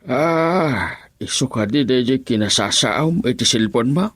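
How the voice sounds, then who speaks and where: A man's voice: mid-range voice, lively intonation, slow pace, fairly bright tone, no echo, studio quality. An actor with an Ilocano Filipino accent playing a wistful, fretful elderly father in a radio drama. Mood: concerned.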